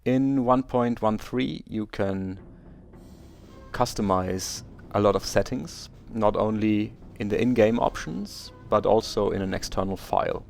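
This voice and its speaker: A man's voice: on the low side, a little slow, vocal fry, darkish tone, faint music behind. A German man speaking English as a second language, hosting a game let's play and carefully explaining settings step by step with small hesitations. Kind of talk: video game tutorial commentary.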